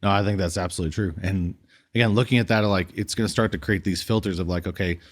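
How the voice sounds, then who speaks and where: Low-voiced man in casual podcast chat. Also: fairly even intonation, fast talker. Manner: conversational, thinks aloud, steers with questions. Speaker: podcast co-host, audio system designer.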